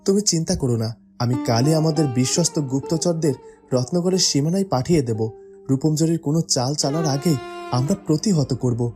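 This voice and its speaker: A man's voice: on the high side, normal speed, lightly acted. A man voicing a devoted, protective young prince in a fairytale cartoon, with music behind the voice. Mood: tender, reassuring.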